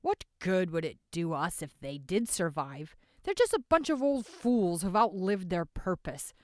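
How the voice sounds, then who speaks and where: A woman voicing a hoarse, doom-telling old man. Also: on the low side, big pitch swings, measured pace, dry recording, studio quality, pitched above the performer's own voice. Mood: scornful. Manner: foreboding, ominous.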